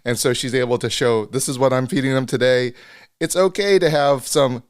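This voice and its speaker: Man co-hosting, middle-pitched voice. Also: normal speed, no echo, clean studio sound. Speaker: podcast co-host, doctor. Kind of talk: casual chat.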